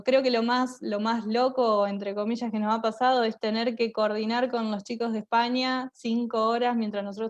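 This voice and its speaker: An Argentine woman with a fairly high voice talks as an interview guest. Her delivery is informal and anecdotal, like someone recounting her own experiences. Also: highs cut off. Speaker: guest, radio theatre maker.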